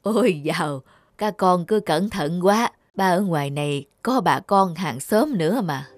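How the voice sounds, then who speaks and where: An actor playing an aging, lonely, selfless father in a cartoon, slow and soothing. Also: sits high, animated, dry recording, studio quality. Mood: tender reassurance. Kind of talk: cartoon dub acting. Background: music.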